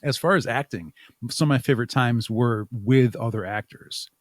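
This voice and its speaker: A man talks casually and reflectively, as a guest in an interview. He feels his way toward his words in a mid-pitched voice. The sound is dry, with very little room to it. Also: normal speed, no room sound. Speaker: podcast guest, haunt makeup artist and audio drama creator.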